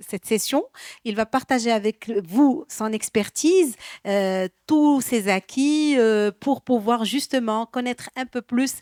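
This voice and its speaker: A woman opens the event with an encouraging welcome, often pausing to search for words. Her voice sits fairly high, and the recording is dry and close. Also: darkish tone, dry recording. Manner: encouraging, many "euh" pauses. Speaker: event president welcoming guests. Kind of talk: welcome speech.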